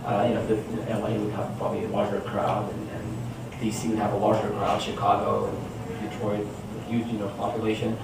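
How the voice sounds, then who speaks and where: A man giving an informal public presentation as a guest speaker, talking conversationally and often checking in with listeners. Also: lowish voice. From born in Damascus, Syria, moved to the US when young. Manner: conversational, often checking with "you know" and "right?". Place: indoor room in front of an audience. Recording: very echoey room, distant mic, clear noise.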